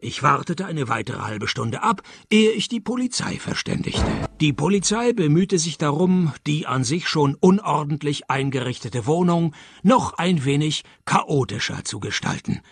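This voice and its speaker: Gravelly, wry private detective. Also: male voice, a little high, animated, moderate pace, no room sound, exaggerated voice. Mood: dry narrating. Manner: dry, sardonic. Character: wry, cynical male private detective narrating his case. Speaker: lead actor and narrator. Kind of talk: radio play narration and dialogue.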